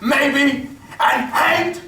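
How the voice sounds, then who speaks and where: A man's voice: very high voice, drawn-out words, vocal fry, sharp tone, heavily acted. A man playing a brutish, short-tempered thief in a radio play on stage. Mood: scornful. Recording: distant echoey stage, faint noise.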